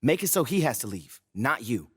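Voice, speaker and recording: A man's voice: mid-range voice, sing-song, brisk, slightly rough, no echo, studio quality. A man playing a persuasive, scheming adviser in a video game scene, coaching with natural but emphatic delivery.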